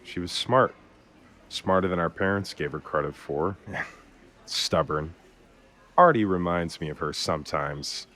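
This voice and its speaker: Deep male voice, actor for a weary, consoling man in an audio drama; halting, sincere, natural delivery. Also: sharp tone. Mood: bitter, reflective. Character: weary, consoling man grieving a lost sister.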